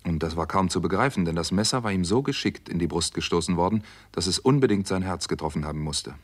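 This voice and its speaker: Sober doctor telling a crime story, really low voice, measured and dramatic, old radio play. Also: male voice, slightly rough. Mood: grave, tense. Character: American doctor Steve Mason, sober crime-story narrator. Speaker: first-person narrator and lead actor. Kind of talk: 1960s German radio crime drama narration.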